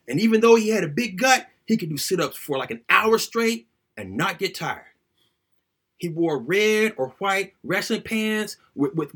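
Animated high-voiced man telling a story. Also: slightly rough. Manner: animated, conversational storytelling in a colloquial voice. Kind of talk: dramatic reading aloud of a first-person childhood story.

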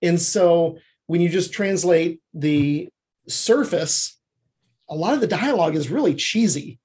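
A man with a somewhat high-set voice that has a husky, unclean edge. He talks as an interview guest, laying out how his craft works in a halting, explanatory manner. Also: animated, darkish tone. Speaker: guest screenwriter.

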